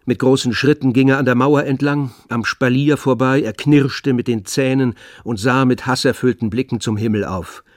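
A man serves as narrator of a literary radio drama. He speaks at a middle pitch, in a measured and grave manner. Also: fairly even intonation, dry recording, studio quality.

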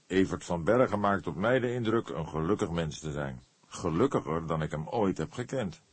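Man acting a patient, low-voiced senior detective. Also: lively intonation, slightly rough, no room sound. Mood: patient, earnest. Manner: measured, patient, earnest. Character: patient, seasoned senior male police detective. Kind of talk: audiobook character dialogue.

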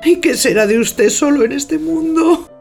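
A woman's voice: sits high, measured pace, vocal fry, a little mellow, soft background music. An actress playing a persistent, scheming housemaid in a theatrical audio drama, insistent and coaxing with her master. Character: bossy, persistent, scheming housemaid of an old gentleman.

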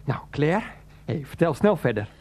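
An actor playing a fussy, placating husband and son in a radio play, hesitant and repeating himself. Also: male voice, a little high, very animated, normal speed, a little mellow.

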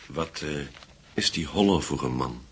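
An actor playing a grave, methodical investigator in a radio drama, with a husky voice and a measured, deliberate delivery. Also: male voice, extremely low pitch, fairly bright tone, slight background noise. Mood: thoughtful, probing. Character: grave, methodical male investigator piecing together a wartime secret. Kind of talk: Dutch radio play acting.

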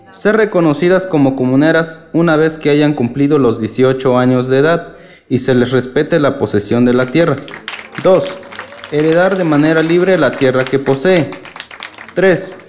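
An actor as formal, high-pitched village official. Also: male voice, a little mellow, natural voice. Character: formal male village official reading statutes. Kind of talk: formal read-aloud in radio drama.

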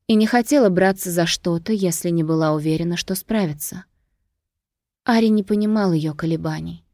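A woman narrates literary fiction from a script. Her voice sits a little low. The recording is dry, with very little room sound. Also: darkish tone, dry recording. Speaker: audiobook narrator.

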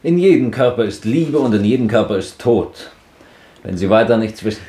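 A man's voice: on the low side, lively intonation, normal speed, dark tone, reverberant, close to an everyday voice. An actor playing a curt, sceptical old man in a 1950s radio drama.

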